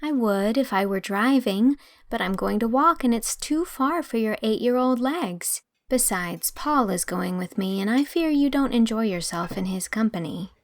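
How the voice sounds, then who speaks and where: A woman voicing a kindly young woman who gently chides a child in composed, proper words, in an audiobook. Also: a little high, lively intonation, moderate pace, dry recording. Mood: kindly firm. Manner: composed, mildly reproving, proper wording. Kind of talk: audiobook character dialogue.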